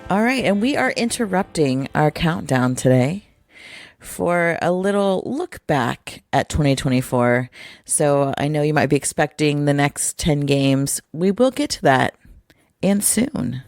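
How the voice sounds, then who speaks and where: A woman co-hosting a podcast in casual chat, her voice hoarse, low and raspy, with dry teasing humor. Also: expressive, a little slow, darkish tone, no room sound. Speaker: podcast co-host.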